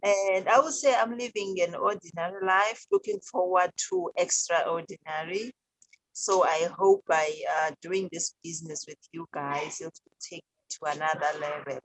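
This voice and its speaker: A woman's voice: fairly low pitch, moderate pace, no echo, low-quality recording. A woman from the Eastern Cape of Africa, introducing herself to a group. She sounds friendly and a little hesitant. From Eastern Cape, South Africa. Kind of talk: self-introduction on a group call.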